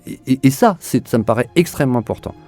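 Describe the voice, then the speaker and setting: A man's voice: medium pitch, animated, fast, warm tone, faint music behind. A man being interviewed as an audio drama director, answering spontaneously in an earnest, searching way, often repeating words as he feels for the next one.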